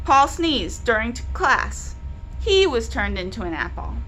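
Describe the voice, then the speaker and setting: A woman reads a children's story aloud with a bright, high voice. Her delivery is lively and expressive, pitched at young listeners, over clear background noise. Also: measured pace, poor audio. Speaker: library storyteller.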